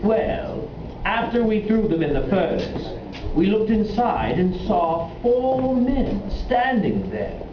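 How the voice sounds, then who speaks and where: A man voicing an earnest, puzzled royal assistant in a children's puppet show, telling things deliberately. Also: high-pitched, very animated, exaggerated voice. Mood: earnest storytelling. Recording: echoey, muffled, noisy room, far from mic.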